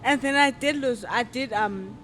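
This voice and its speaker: A woman in her twenties, a podcast guest telling her own story in a casual, reflective way, often pausing to think aloud. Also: a little high, a bit bright, slight background noise. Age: 20s. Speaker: podcast guest, modeling agency founder. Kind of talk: personal story in casual interview.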